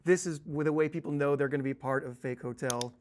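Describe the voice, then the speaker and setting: A man giving an informal software tutorial in a conversational, emphatic way with some joking. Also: fairly high pitch, steady tone, fast, a little hiss or hum. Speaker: software trainer.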